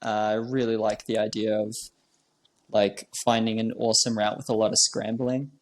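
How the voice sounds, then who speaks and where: A young man from New Zealand talks easily in a casual interview, his voice sitting a little low. He keeps things loose, dropping in plenty of "like" and "um" as he goes. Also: normal speed. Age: young adult. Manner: relaxed, with many fillers like "like" and "um". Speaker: guest trail runner.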